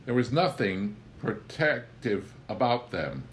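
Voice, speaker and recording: Man, low-pitched voice, wry theatrical audiobook narration, deliberate phrasing, faint background noise. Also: very animated. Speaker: audiobook reader. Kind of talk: expressive scripted storytelling narration of a memoir.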